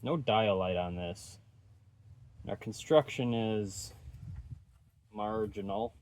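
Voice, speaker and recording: Low-pitched man, casual repair commentary. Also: slow pace, a little mellow, a little hiss or hum. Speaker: host of an electronics repair channel.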